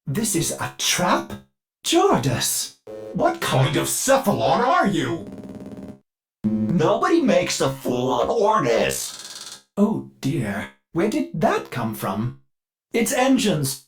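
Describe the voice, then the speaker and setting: Fussy, lively male ship computer AI. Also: a little high. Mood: alarmed. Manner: polite, eager and deferential, breaking into violent outbursts. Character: fussy, devoted ship computer AI, male, with flashes of bloodthirsty rage. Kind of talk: scripted video game character lines.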